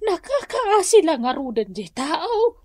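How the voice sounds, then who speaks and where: A woman's voice: very high voice, big pitch swings, a little slow, darkish tone, heavily acted. A woman with an Ilocano accent playing a concerned, disapproving village gossip in a radio drama.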